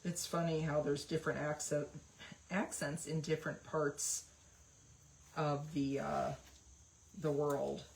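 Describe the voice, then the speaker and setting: A woman's voice: low-pitched, little pitch movement, takes it slowly, creaky, pauses often, poor audio. A woman hosting a craft livestream, chatting casually and rambling with lots of fillers while she paints. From West Virginia, USA.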